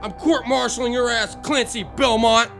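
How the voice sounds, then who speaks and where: An actor playing an ignorant, brash soldier, outraged and accusatory, in a comedy audio drama. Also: male voice, very high voice, fairly even intonation, moderate pace, gravelly, fairly bright tone, soft background music, exaggerated voice.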